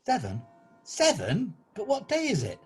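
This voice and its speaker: Man acting elderly reformed miser, husky, very animated pitch, flustered, eager, exclamatory, amateur radio play. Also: unhurried, faint music behind, heavily acted. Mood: bewildered.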